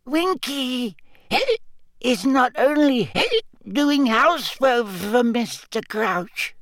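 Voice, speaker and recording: A man voicing a tiny, drunk, tearful female house-elf who talks in squeaky, slurred, pleading bursts. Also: lively intonation, drawn-out words, fairly bright tone, no room sound, studio quality, exaggerated voice.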